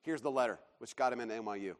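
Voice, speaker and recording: A man preaching a sermon as a pastor, enthusiastic and quick to break into laughter. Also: medium pitch. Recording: long reverb of a large hall.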